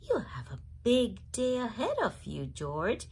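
Woman as a gentle, husky storybook guardian. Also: fairly high pitch, wildly swooping pitch, a little slow, full character voice. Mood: encouraging. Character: friendly, caring man, a monkey's guardian, in a storybook.

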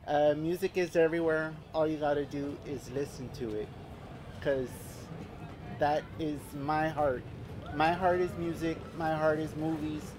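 A man's voice: a little high, fairly even intonation, measured pace, noisy background. A man in his late thirties giving an earnest personal testimony at an outdoor park event, speaking into a stand mic in loosely strung thoughts. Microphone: handheld mic on stand. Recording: faint outdoor ambience.

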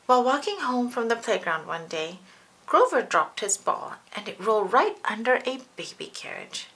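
A woman reading a children's picture book aloud, expressive and animated. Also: a little high, normal speed. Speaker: storybook reader.

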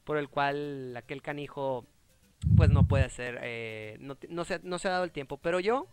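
A man's voice: fairly high pitch, normal speed, darkish tone, clear, resonant tone, faint music behind. A young man, chatting casually as host of a gaming show. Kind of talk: casual chat while playing.

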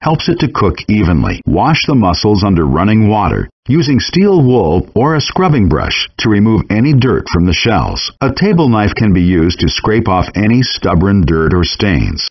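A man voicing a calm chef instructor in a video game, reading measured step-by-step cooking instructions. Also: fairly low pitch, husky, exaggerated voice. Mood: patient. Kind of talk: scripted game voice lines. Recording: dull lo-fi game audio rip.

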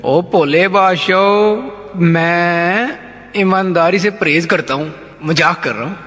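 An actor with a Punjabi accent playing a jovial, shameless, blind village politician in a satirical comedy sketch, folksy and teasing. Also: male voice, high voice, slow pace, a bit bright. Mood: teasing, cynical. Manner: folksy, teasing, peppered with interjections. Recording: long hall reverb.

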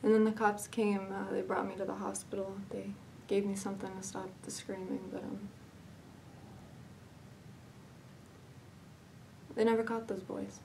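A woman performing a dramatic monologue as a fragile, haunted young woman, speaking haltingly with hesitant pauses and repetitions. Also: medium pitch, little pitch movement, vocal fry, warm tone, distant mic, slight background noise, close to an everyday voice, poor audio. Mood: numb, grieving.

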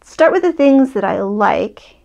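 A woman's voice: medium pitch, animated, unhurried, creaky, darkish tone, muffled recording. A woman in her thirties giving a casual, chatty review, breaking off her own sentences with wry, hesitant asides. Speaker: book reviewer. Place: small furnished room, seated indoors.

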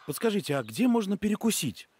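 Актёр озвучивает дружелюбного и любопытного гостя-отпускника в мультфильме, говорит вежливо, часто с вопросом. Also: male voice, sits high, big pitch swings, fast, darkish tone, slight background noise. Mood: friendly, curious. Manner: polite, eager, questioning. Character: friendly, curious male celebrity visitor on holiday. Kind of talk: animated cartoon voice acting. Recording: music behind the voice.

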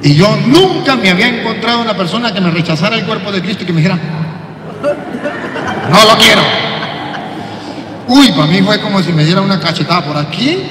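A middle-aged man, a priest, preaching an animated sermon into a handheld mic. He acts out quotes and swings from soft to shouting. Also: high voice, fairly quick, pauses often, noisy background. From Venezuela. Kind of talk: lively sermon. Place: large church, indoors. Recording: echoey church from a distance.

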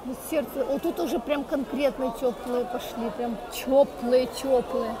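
A woman's voice: high voice, fairly even intonation, unhurried. A woman vlogging as she walks through an outdoor market, chatting to viewers and haggling with sellers in accented Turkish, filmed on a phone with an earphone mic. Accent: Russian-speaking, speaks Turkish with a foreign accent. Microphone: phone camera with earphone mic. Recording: busy market crowd and noise.

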